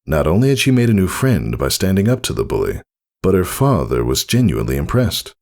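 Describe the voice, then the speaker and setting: A man's voice: low voice, very animated, brisk, creaky, a little mellow. A man reading a story aloud as narrator in a scripted storytelling style. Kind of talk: scripted fan fiction narration.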